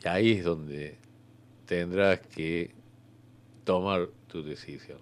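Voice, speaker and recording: Deep-voiced Argentine God as halting clerk. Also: male voice, steady tone. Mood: hesitant, explanatory. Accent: Rioplatense Argentine Spanish. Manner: halting, rambling, full of searching pauses. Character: hesitant, bureaucratic God working as an afterlife clerk. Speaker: comedy actor in a radio sketch. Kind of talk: improvised radio comedy dialogue.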